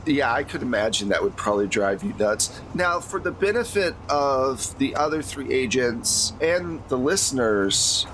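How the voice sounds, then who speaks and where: A man's voice: medium pitch, expressive, normal speed, hardly any pauses, fairly bright tone, a little hiss or hum. An American man acting as game master, talking casually and conversationally as he narrates a tabletop role-playing game. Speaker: game master of an actual-play podcast.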